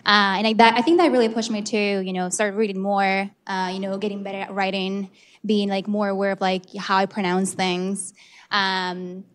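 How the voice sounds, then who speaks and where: A woman's voice: medium pitch, little pitch movement, normal speed. A woman with a Colombian Spanish accent speaks candidly and casually on a panel. She leans on plenty of "you know" and "like" fillers. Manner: candid, chatty with many fillers. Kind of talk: panel discussion answer.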